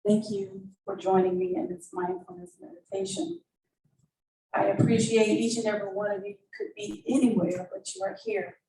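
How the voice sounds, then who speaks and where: A woman with a mid-pitched voice guides an audience through mindfulness. She instructs in short, deliberate phrases, heard from a distance in an echoey room with faint noise. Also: fairly even intonation, dark tone. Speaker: mindfulness meditation leader. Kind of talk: guided meditation and thanks to an audience.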